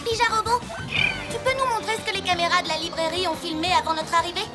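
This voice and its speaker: A woman's voice: extremely high pitch, fairly even intonation, quick pace, loud music behind the voice. A woman voicing a keen, bossy six-year-old superhero girl in a French cartoon, speaking in an emphatic, decisive way. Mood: eager, puzzled. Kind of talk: French cartoon dub acting.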